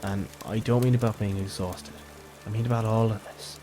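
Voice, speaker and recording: A man playing a quiet, thoughtful ranger, musing his lines in a fantasy audio drama. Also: fairly low pitch, fairly even intonation, normal speed, over music, lightly acted. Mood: concerned.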